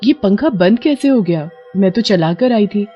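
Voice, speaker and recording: A woman voicing a caring, gentle young mother in a cartoon family story. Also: medium pitch, lively intonation, moderate pace, slightly rough, voice pushed up. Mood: uneasy. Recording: dull lo-fi audio with music behind.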